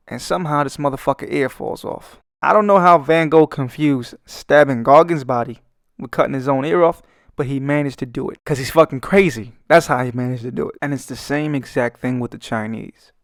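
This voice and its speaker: Man, brooklyn accent, gravelly voice, dry close sound, cocky cussing rant, young adult. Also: mid-range voice, fairly quick, no room sound. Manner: swaggering, profane, joking. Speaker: artist and channel host. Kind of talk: rambling comedic commentary over a speedpaint.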